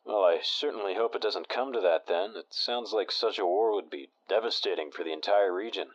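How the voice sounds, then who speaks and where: Man as a low-voiced radio talk host. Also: a little fast, dull audio, natural voice. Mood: calm. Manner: smooth broadcast delivery.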